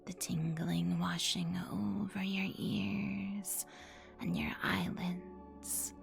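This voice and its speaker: A woman whispering an ASMR guided meditation as its host, in a soothing, instructional manner with long pauses. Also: on the low side, steady tone, gravelly. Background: music.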